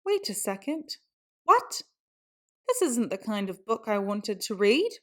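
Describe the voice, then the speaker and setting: A woman's voice: a little high, sing-song, a little slow, fairly bright tone. A woman reading a children's book aloud in a theatrical storytelling style, with exaggerated exclamations.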